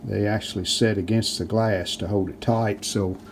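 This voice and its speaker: A man narrating a hands-on restoration how-to in a casual, plainspoken way, often pausing mid-sentence. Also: fairly low pitch, steady tone, a little hiss or hum. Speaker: host of a restoration how-to video.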